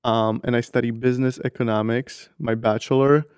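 A nineteen-year-old man from Slovakia, not a native English speaker, hosts his own podcast with a very flat, even delivery. His voice is smooth and clear, though a little hoarse from long talking, and it sounds dry and close with no room echo. He talks candidly, leaning on "like" as a filler. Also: mid-range voice, moderate pace. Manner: earnest, candid, uses many fillers like "like". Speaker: podcast host. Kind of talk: casual solo podcast talk.

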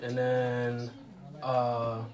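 A man's voice: medium pitch, completely flat delivery, very slow. A young man from Massachusetts whose first language is Ukrainian, a guest talking casually on a podcast with many fillers. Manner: laid-back, filler-heavy, laughs often. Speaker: guest rapper.

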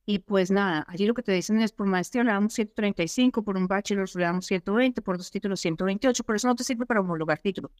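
A woman's voice: mid-range voice, fast talker, few pauses, no room sound, studio quality. A middle-aged woman, an immigration consultant co-hosting a talk show, explaining her points emphatically and often asking rhetorical questions. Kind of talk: advisory talk show chat.

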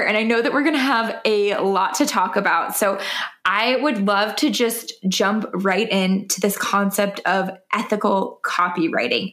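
Woman, podcast host, casual chat, eager and upbeat, mid pitch. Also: a bit bright.

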